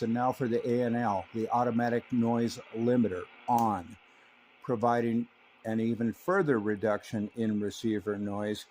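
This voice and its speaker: Older man, relaxed technical radio talk. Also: mid-range voice, a little hiss or hum. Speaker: radio reviewer and CB operator. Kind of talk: technical review narration and on-air radio chat. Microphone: stock desk microphone on the radio.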